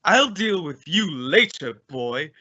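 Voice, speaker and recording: A man acts out a blustering male school principal in an old-time radio comedy. His voice is piercingly bright and high, swooping about as he stammers with pompous indignation. The recording is dull and lo-fi. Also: sing-song, takes it slowly, exaggerated voice. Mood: dizzy, flustered.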